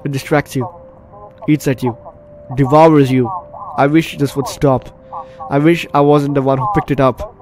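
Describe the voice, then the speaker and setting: A man's voice: mid-range voice, steady tone, a little slow, pauses often, background noise. A man doing gameplay commentary, reading story text aloud dramatically and stumbling over words now and then. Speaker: gaming video host. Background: game music.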